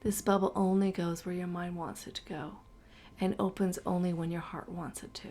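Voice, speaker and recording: A woman narrating a guided meditation slowly and soothingly, her breathy voice often dropping toward a whisper. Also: lowish voice, level tone, warm tone.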